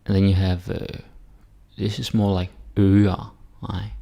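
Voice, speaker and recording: A man with a Danish accent teaching pronunciation in a casual way, repeating words slowly for learners. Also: sits low, level tone, gravelly, dark tone. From Denmark. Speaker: language teacher. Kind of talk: pronunciation lesson.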